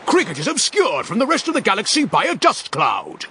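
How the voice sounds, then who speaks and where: A man's voice: high-pitched, huge pitch swings, a little fast, sharp tone, a little hiss or hum, voice pushed up, exaggerated voice. A man voicing grand narrating text in the sky in an audiobook, declaiming each phrase. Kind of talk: audiobook reading.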